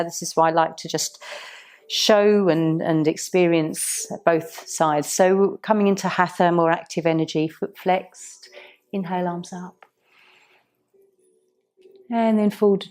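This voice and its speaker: A woman yoga teacher guides stretches and breathing in a soothing, unhurried manner. Her voice sits fairly low and has a warm, mellow tone. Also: steady tone. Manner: soft, soothing, unhurried guidance. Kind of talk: guided yoga class instruction.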